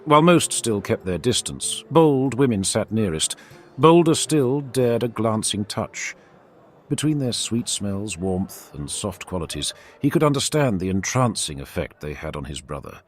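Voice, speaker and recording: Expressive man narrating a dramatic fantasy tale. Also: lowish voice, measured pace, soft background music. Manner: theatrical, formal storyteller.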